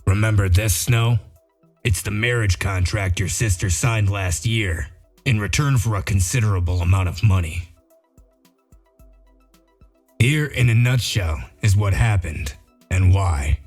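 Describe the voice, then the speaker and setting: A man playing a gruff, dry-witted detective in a comic dub, explaining things in a matter-of-fact, wry way. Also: on the low side, a little mellow. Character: Bigby Wolf, gruff dry-witted male detective sheriff. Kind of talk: amateur comic dub acting.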